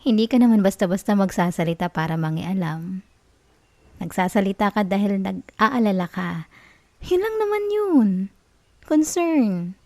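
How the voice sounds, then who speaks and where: Woman playing a soft, mellow, clingy Filipino girlfriend. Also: mid-range voice, no echo, natural voice. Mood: gently coaxing, manipulative. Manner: coaxing, cooing, giggly reassurance. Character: obsessive, clingy young college girlfriend, sweetly manipulative. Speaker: ASMR roleplay performer. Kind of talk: intimate ASMR girlfriend roleplay.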